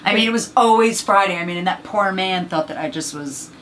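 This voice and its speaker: A woman with a husky, low voice, chatting as an interview guest about her acting career, rambling and filling gaps with "you know.". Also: a little fast, a bit bright, a little hiss or hum. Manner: rambling, many fillers. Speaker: actress and voice actor guest. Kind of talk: casual interview chat.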